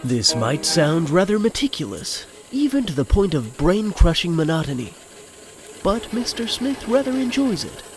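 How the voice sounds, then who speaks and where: Wry, higher-pitched male narrator. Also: gravelly, background noise. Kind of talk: scripted comedic story narration.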